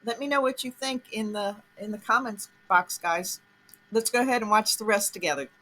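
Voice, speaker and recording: A woman's voice: mid-range voice, moderate pace, sharp tone, slight background noise, low-quality recording. A woman hosting an online commentary show, talking casually and directly to her viewers. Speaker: host of online commentary channel.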